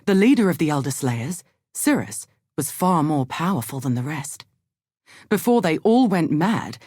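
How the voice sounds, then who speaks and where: An actress voicing a thoughtful, cautious, scholarly exile in a video game, speaking in a measured, articulate and earnest way. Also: female voice, lowish voice, darkish tone, slightly rough, dry recording, clean studio sound. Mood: earnest, hopeful. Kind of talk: scripted video game character dialogue.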